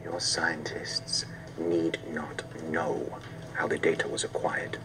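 An actor playing a coolly businesslike, menacing regime envoy in a film game, speaking slowly and coldly. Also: male voice, extremely low pitch, completely flat delivery, music in the background, rough recording. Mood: cold menace. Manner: slow, measured, cold.

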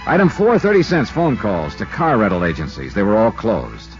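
A man's voice: on the low side, huge pitch swings, normal speed. An American actor playing a wry, self-assured insurance investigator in a 1950s radio detective drama, narrating and talking through his cases. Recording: dull 1950s radio recording, loud music.

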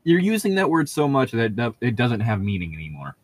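A man's voice: mid-range voice, huge pitch swings, fast, no room sound. A man giving casual, critical commentary as a podcast co-host, explaining his points as he goes. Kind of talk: casual commentary on a book being read aloud.

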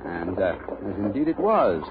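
A man playing a confident gentleman detective with a British accent, brisk and superior, in 1940s radio acting. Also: on the low side, very animated. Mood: urgent. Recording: muffled, noisy old radio recording.

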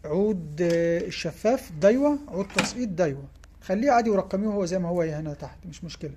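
An Egyptian man talks at a brisk clip as he shows off giveaway prizes in his tackle shop. He keeps checking in with "tamam?" and nudges the children helping him, recorded on a handheld phone with faint shop noise around him. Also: sits high, expressive. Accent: Egyptian Arabic. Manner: quick, repeats "tamam?" to check in, coaxing children along. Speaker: fishing tackle shop owner. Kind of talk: casual shop video presenting giveaway prizes. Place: indoor fishing tackle shop.

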